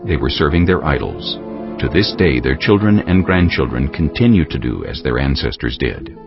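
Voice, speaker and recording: A man's voice: sits low, gravelly, slightly rough. A man narrating a dramatized scripture audiobook in a scripted, storytelling delivery. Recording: muffled audio with faint music.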